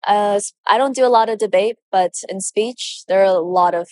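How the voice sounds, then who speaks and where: A woman's voice: medium pitch, normal speed, darkish tone, no echo. A young woman in her teens giving casual interview answers as a student speech competitor.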